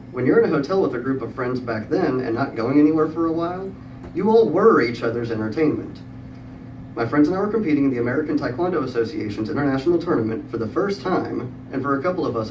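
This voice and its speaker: A distant, echo-heavy recording with clear background noise carries a middle-aged man's voice at an average male pitch. He delivers a prepared comic story to a live room. His manner is wry and dry-witted, with pauses placed for the joke. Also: a little mellow. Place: live event room with audience.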